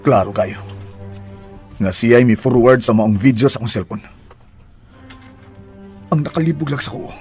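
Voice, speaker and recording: An actor playing a devoted but firm husband in an expressive, melodramatic radio drama style. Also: male voice, mid-range voice, moderate pace, long pauses, music in the background, lightly acted. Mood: pondering. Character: devoted husband, affectionate at home, firm with rivals.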